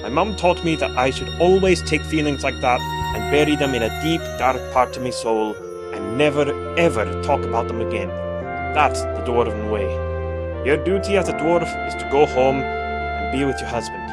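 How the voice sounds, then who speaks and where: Man acting as a gruff dwarf cleric, stubborn and duty-bound, cartoon dub, clear music behind. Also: mid-range voice, lively intonation, moderate pace, lightly acted. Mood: grave. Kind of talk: animated comic fandub acting. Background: prominent music.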